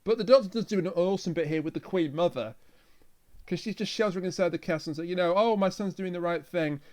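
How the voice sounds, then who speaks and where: A man's voice: high voice, fast talker, a little mellow. A man chatting casually as a reviewer on a discussion podcast.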